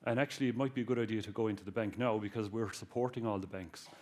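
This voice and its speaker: A man's voice: fairly low pitch, fairly even intonation, rapid-fire, a little mellow. A man with a Cork Irish accent giving a public talk to students, joking and addressing the audience directly. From Ireland. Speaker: guest speaker, research engineer.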